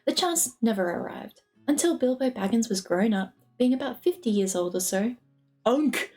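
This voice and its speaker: A woman's voice: fairly high pitch, expressive, moderate pace, darkish tone. A woman reading a novel aloud as storybook narration. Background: lofi music.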